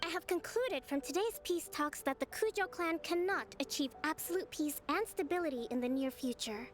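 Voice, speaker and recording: High female voice, actress as gentle dignified priestess commander, formal measured diction, video game acting, music underneath. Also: lightly acted. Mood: firm, authoritative. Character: gentle, dignified young priestess and military leader.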